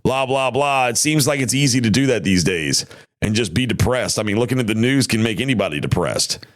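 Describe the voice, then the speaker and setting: A man's voice: mid-range voice, expressive, a little fast. A man hosting a podcast in casual chat, his voice tired and worn, rambling in a folksy way with many restarts. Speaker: podcast host. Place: home studio.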